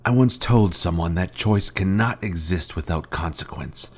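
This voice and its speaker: Very deep, grave fallen master villain. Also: male voice, husky. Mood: cold, certain. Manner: slow, solemn, pompous formal speech. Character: menacing fallen Jedi master, grave former mentor, cold and grandiose. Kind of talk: scripted video game villain dialogue.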